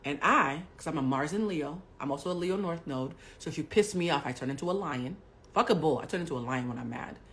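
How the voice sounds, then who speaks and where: A woman in her forties hosting a livestream, telling a personal story bluntly and with plenty of profanity, swinging between hushed asides and loud bursts. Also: sits low, expressive, fairly quick. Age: 40s. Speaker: livestream host. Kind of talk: candid storytelling to a live audience.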